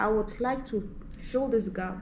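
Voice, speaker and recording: A woman's voice: fairly high pitch, fairly quick, a little mellow, slight background noise. A woman hosting a casual group interview, putting questions to her guests and chatting with them. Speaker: host. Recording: music behind the voice. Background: music.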